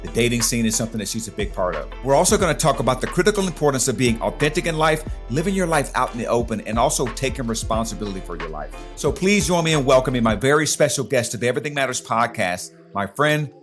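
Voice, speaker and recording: A man's voice: medium pitch, sing-song, fast, few pauses, faint music behind. A man hosting a podcast, introducing and interviewing guests in a conversational way. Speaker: podcast host.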